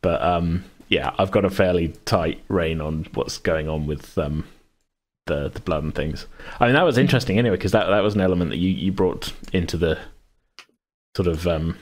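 Very deep-voiced man, chatty and rambling. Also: normal speed. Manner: rambling, self-correcting, often chuckling. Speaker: podcast host and game master. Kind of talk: casual chat.

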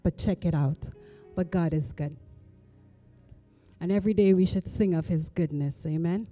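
A middle-aged woman, a minister and singer, chatting softly to a church congregation before she sings, on a handheld mic. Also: fairly low pitch, normal speed. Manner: soft-spoken and chatty, says she has a big voice. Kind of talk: spoken introduction then worship singing. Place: church sanctuary with cross on stone wall. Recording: music faintly behind. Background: accompanying music.

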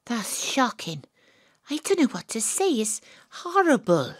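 An actress playing a sympathetic, self-mocking private detective, narrating an audio drama in a theatrical, wry manner. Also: female voice, fairly high pitch, sing-song, a little slow, husky, no echo, clean studio sound, full character voice. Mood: concerned. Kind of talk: first-person audio drama narration and dialogue.

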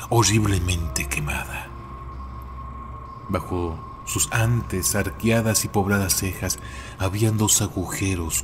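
A man narrating scripted horror legends in a solemn, deliberate way with dramatic emphasis. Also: lowish voice, a little hiss or hum. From Mexico.

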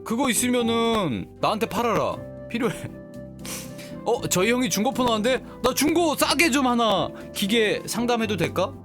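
A man's voice: very high voice, expressive, moderate pace. A man hosting a live game stream, playfully mixing casual chat with reading the story aloud. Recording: music playing behind the voice.

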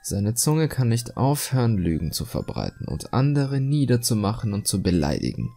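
A calmly cruel male killer obsessed with beauty, acted out by a man in a horror audio story. His delivery is husky and expressive, confiding and self-righteous, over faint background music. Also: mid-range voice, normal speed. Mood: smug, calm menace. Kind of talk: German creepypasta horror monologue, dramatic reading.

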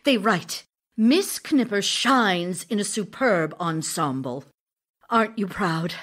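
Slow, ardent stage actress in love. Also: female voice, medium pitch, animated, fairly bright tone. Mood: excited, yearning. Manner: emotional, theatrical, deliberate phrasing. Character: Olga Knipper, ardent Russian stage actress and lover. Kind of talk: audio drama acting, letters read as speech.

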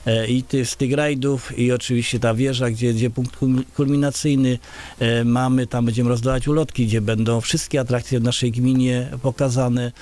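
A man's voice: mid-range voice, flat delivery, moderate pace, a little mellow. A middle-aged man from Poland, a local village head, answering interview questions in a radio studio with many filler sounds and restarts. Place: small radio studio, indoors. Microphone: large studio microphone on a boom arm.

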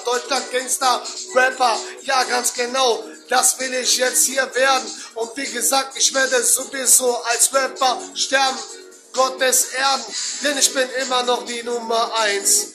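A young man from Germany with a cold, freestyle rapping boastfully into a webcam in a dark bedroom. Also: very high voice, little pitch movement, measured pace, over music. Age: young adult. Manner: boastful, repetitive rhymes. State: has a cold. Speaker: amateur rapper. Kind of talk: freestyle rap over a beat. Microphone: webcam at close range. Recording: beat playing under the voice. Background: loud backing beat.

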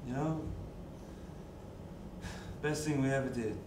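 A man's voice: mid-range voice, takes it slowly, natural voice. A man playing an older, friendly but nosy traveler who talks in a chatty, fatherly way and hesitates. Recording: distant, echoey, noisy.

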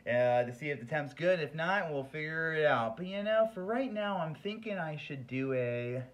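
A man's voice: fairly high pitch, animated, moderate pace. A man in his thirties hosting a goofy home cooking demonstration, joking around and swearing as he walks through each step, recorded on a camera mic a few feet away in a small kitchen. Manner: jokey, swears, keeps saying "freaking". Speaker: home cooking show host. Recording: roomy kitchen echo.

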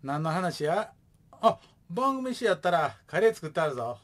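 Animated, joking Kansai father played by a man. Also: sits high, moderate pace. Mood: easygoing. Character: gruff, joking widowed showbiz father. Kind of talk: comic radio drama.

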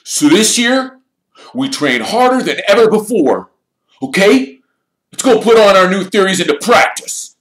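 A fiery young sports captain from a children's storybook, acted out by a male reader. He is forceful and indignant, pitched far above the reader's own voice. The sound is roomy and echoing. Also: big pitch swings, moderate pace, few pauses, heavily acted. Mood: rousing. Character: fiery, obsessive teenage boy sports captain. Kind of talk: audiobook character voice in a children's fantasy story.

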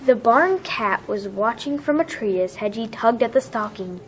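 A woman's voice: fairly high pitch, lively intonation, moderate pace, slight background noise. A woman narrating a children's storybook, reading it aloud.

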